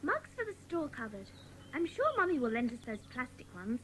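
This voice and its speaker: A cheerful, capable little schoolgirl in a children's audio drama. Her high voice is very lively, with noticeable noise in the background. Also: fairly quick.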